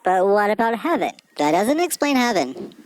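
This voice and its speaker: A woman's voice: mid-range voice, sing-song, moderate pace, a little mellow, close to an everyday voice, poor audio. A woman voicing an inquisitive, naive little boy sheep puppet in a children's show. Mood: curious, puzzled. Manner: eager, questioning, naive. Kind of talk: scripted children's puppet dialogue.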